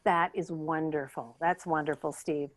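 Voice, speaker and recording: A woman's voice: low voice, steady tone, moderate pace, voice pushed down, close to an everyday voice. A woman playing a tired, politely upbeat job interviewer in improvised sketch comedy, hesitant and rambling.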